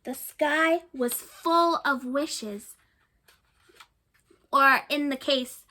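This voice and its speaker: A woman's voice: sits high, very slow. A woman reading a picture book aloud to children, stopping to chat and ask listeners questions, and often repeating herself. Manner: chatty, warm asides to young listeners, often repeating herself.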